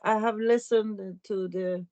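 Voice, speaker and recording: Halting Swedish politician on a video call. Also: female voice, medium pitch, fairly even intonation, dark tone, no echo. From Sweden. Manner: halting, with many restarts. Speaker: local politician. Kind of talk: webinar testimony. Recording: dry, dull video call audio.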